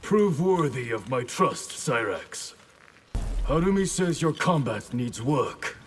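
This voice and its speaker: A stern ninja mentor in a fighting game. His mid-pitched voice is measured and commanding, with faint noise in the background. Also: sing-song. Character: stern, honorable male ninja clan master. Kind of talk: video game character dialogue.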